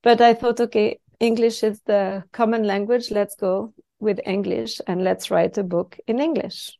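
A woman's voice: medium pitch, steady tone, unhurried, creaky, warm tone. A middle-aged woman from Switzerland, a native French speaker with a French accent, talking conversationally as an interview guest. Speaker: podcast guest, expat coach.

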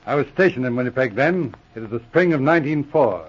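An actor playing a brisk, gruffly good-humored veteran police officer in a 1940s radio drama. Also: male voice, mid-range voice, expressive, lightly acted. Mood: wry, reminiscing. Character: brisk, dry, loyal veteran police sergeant and inspector. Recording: muffled old radio recording.

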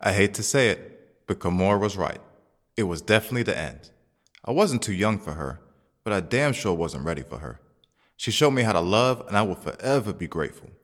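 A man's voice: lowish voice, animated, brisk. An actor playing a cocky yet caring young single man in an audio drama, slangy and swearing. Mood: reflective. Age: young adult. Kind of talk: scripted audio drama acting and first-person narration. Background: background music.

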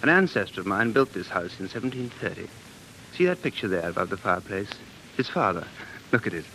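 A man's voice: fairly low pitch, sing-song, brisk, pushed and bright, a little hiss or hum, heavily acted, poor audio. A man playing an earnest, dreamy American living in London, speaking intensely and musingly in 1940s radio drama style. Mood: wistful, fascinated. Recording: 1940s radio broadcast, faint music.

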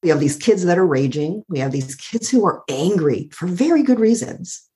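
A middle-aged woman with a calm, soothing voice, thoughtfully telling her life story as an interview guest and laughing often. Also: lowish voice, normal speed. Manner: warm, thoughtful, laughs often, uses "like" a lot. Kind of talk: reflective personal storytelling.

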